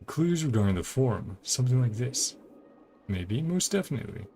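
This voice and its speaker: A man gives casual gameplay commentary as a streamer, rambling in a laid-back way. His voice is heavily creaky with lively movement in pitch, and music plays faintly behind him. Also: moderate pace. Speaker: video game streamer.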